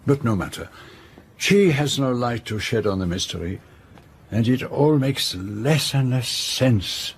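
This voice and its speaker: A middle-aged detective with a medium-pitched voice. He is formal, measured and inquisitive, in the manner of an audio drama, with faint noise behind him. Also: expressive. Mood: pensive.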